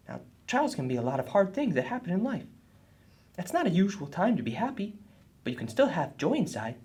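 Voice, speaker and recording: A woman voicing an earnest, husky boy puppet who explains things helpfully in a children's puppet show. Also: lowish voice, dark tone, exaggerated voice.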